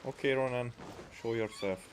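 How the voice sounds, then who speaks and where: A man's voice: medium pitch, normal speed, very noisy. A man giving casual, off-the-cuff commentary as he plays a video game, muttering his reactions. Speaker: gaming video creator playing and commenting.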